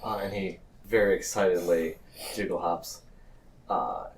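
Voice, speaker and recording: A man with a low voice runs a tabletop game, describing scenes off the cuff in halting phrases. He sits a little off the mic, with faint noise behind him. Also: fairly even intonation, recorded from a distance. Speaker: game master. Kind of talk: improvised tabletop game narration.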